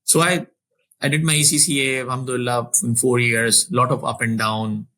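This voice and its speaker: A man in his forties recounts his career as an interview guest, speaking earnestly at a middle pitch. He often restarts and repeats words as he gathers his thoughts. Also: level tone, normal speed, pushed and bright. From Saudi Arabia. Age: 40s. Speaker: finance executive guest. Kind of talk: conversational interview storytelling.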